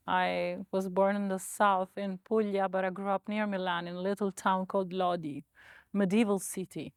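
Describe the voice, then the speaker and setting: A woman from Italy speaks English with an Italian accent, her voice smooth and resonant. The sound is dry and close, and her manner is relaxed. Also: mid-range voice, moderate pace, no room sound. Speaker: singer. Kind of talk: documentary interview.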